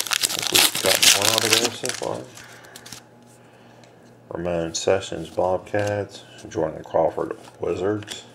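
A man breaking a box of trading cards on camera, reading out names and teams in a low mumble, casual and unhurried. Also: vocal fry. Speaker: card breaker. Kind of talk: casual card-break commentary. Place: small room indoors. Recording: noisy room with card shuffling.

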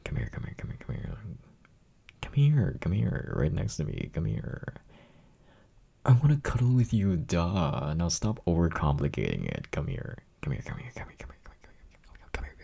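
Man acting a very deep, raspy clingy boyfriend. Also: huge pitch swings, normal speed, mellow, full character voice. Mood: playful, clingy. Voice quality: raspy, breathy, gravelly. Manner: murmuring, often dropping into whispers. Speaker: roleplay audio performer. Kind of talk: intimate ASMR boyfriend roleplay.

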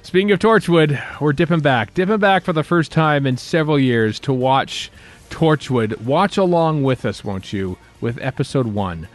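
A man hosting a podcast in casual chat, speaking haltingly with many ums and pauses as he runs through news. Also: on the high side, lively intonation. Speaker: podcast host.

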